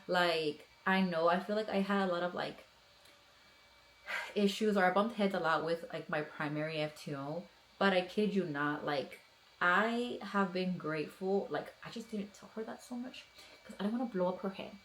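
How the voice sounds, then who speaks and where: Earnest woman in her thirties, lowish voice. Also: normal speed, slight background noise, low-quality recording. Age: 30s. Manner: earnest, encouraging, many fillers. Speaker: police officer vlogger. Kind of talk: casual advice vlog.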